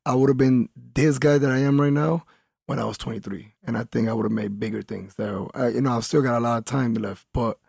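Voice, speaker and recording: Gravelly-voiced man in his thirties. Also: mid-range voice, fairly even intonation, a little fast, a little mellow, no room sound, studio quality. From Colombia. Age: 30s. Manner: laid-back, rambling with many "like"s. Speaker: fighter. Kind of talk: casual interview talk.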